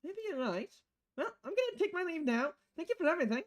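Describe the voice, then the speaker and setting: Grateful, courteous visual novel customer, raised high voice, acted by a woman. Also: big pitch swings, quick pace. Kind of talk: visual novel dialogue read aloud.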